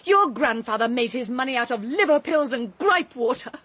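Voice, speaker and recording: An actress playing a disdainful, sharp-tongued British house guest in a radio drama, haughty and sardonic. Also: female voice, high voice, animated, moderate pace, dry recording. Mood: scornful.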